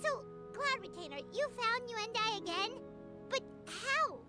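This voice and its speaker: A woman voicing a tiny, excitable, childlike fairy companion in a cartoonish, inquisitive game voice. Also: measured pace, exaggerated voice. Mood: confused, eager. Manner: squeaky, inquisitive, speaks in third person. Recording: loud music underneath.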